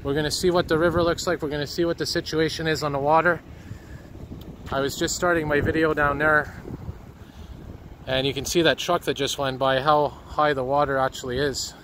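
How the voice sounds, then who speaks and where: A man, slightly hoarse from a cold, narrating as he walks by a flooded river with a handheld camera. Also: a little high, a bit bright. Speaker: citizen video reporter. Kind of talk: walking commentary. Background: street and water noise.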